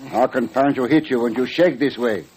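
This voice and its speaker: A man playing an excitable, jovial, simple Mexican sidekick in a radio Western, with a husky voice, an accent and broken English. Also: medium pitch, a little fast, close to an everyday voice, low-quality recording. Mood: mock menacing. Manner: broken English, refers to himself by name. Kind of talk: 1940s-50s radio Western acting. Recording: old Western radio show recording.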